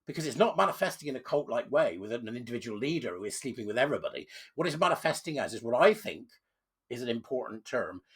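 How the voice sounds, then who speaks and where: A man with a cold delivers an insistent commentary monologue, his voice croaky and husky. His pitch swings widely as he hammers key words with sudden loud stresses. He sits at a middling pitch for a man. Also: big pitch swings, fast talker. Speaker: channel host.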